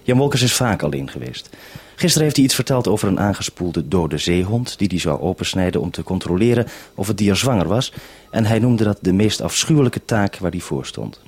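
Male radio presenter, low-pitched and husky, introducing and interviewing. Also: darkish tone. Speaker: radio host.

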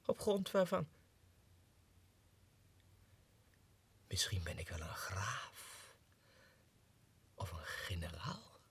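An actor playing a deluded, self-important low-ranking Russian clerk going mad, in a theatrical radio drama monologue that swings between muttering and agitated outbursts. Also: male voice, gravelly, slightly rough, no echo, exaggerated voice. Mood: brooding, musing. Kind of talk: 1960s radio drama monologue, diary entries. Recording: dry 1960s radio studio recording.

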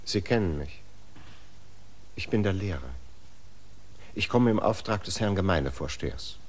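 An actor playing a stiff, officious village schoolteacher in a radio play, lecturing and prim. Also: male voice, low-pitched, lively intonation, moderate pace.

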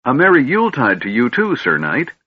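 A man voicing an eager, cheerful small kender who chats brightly in an audiobook. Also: mid-range voice, huge pitch swings, a little fast, breathy edge, pitched above the performer's own voice. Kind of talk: audiobook character voice. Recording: dull, slightly lo-fi recording.

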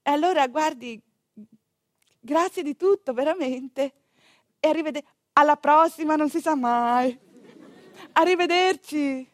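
High-pitched, sarcastic expectant mother. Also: measured pace, long pauses, dry recording, clean studio sound. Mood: anxious, complaining. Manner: animated, exclaiming, ironic asides to the audience. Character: anxious, chatty expectant mother in labour, over-polite and sarcastic. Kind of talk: comic theatrical monologue for radio.

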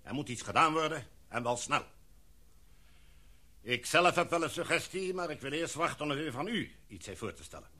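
A commanding expedition chief, played by a man, holds the floor of a meeting in a radio drama. His voice is bright and forceful, a little high for a man, and his tone stays formal and decisive. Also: animated, moderate pace. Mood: measured. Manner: formal, speech-like address to a meeting. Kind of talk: radio play acting.

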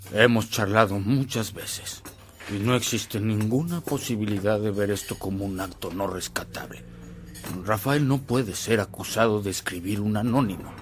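An actor playing a formal, firm but respectful lawyer with an oratorical manner in a radio drama. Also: male voice, lowish voice, a little hiss or hum, lightly acted. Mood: earnest. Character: formal, deferential yet firm male lawyer pleading a case.

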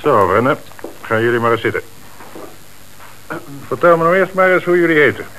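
Sardonic, stern police chief. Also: male voice, on the high side, animated, fairly bright tone, rough recording. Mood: stern, probing. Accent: Dutch from the Netherlands. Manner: brisk, sardonic, authoritative. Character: stern, shrewd police chief interrogating suspects. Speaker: actor. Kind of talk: Dutch radio play acting. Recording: hissy old radio recording.

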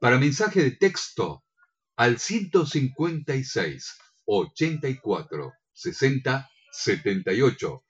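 A man's voice: medium pitch, sing-song, slow pace, husky, highs cut off. An Argentine man co-hosting a radio show, chatting and making announcements in a courteous, agreeable announcer's manner. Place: radio studio.

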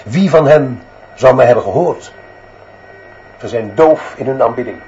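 Actor as stern, disillusioned headmaster, muffled sound. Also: male voice, mid-range voice, measured pace, darkish tone, natural voice. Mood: bitter, resigned. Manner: grave, clipped, emphatic declarations. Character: stern, disillusioned male headmaster confessing his failure. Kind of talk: radio drama monologue. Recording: dull muffled sound, faint background noise.

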